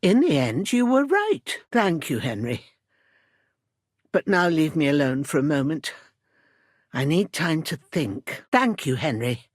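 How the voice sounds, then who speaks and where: An old village mother in a dramatic video game. Her voice is weathered and husky, recorded close and dry. She speaks slowly and earnestly, plain-spoken and heavy with care. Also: low-pitched, very animated, dry recording, heavily acted. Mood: grateful, solemn.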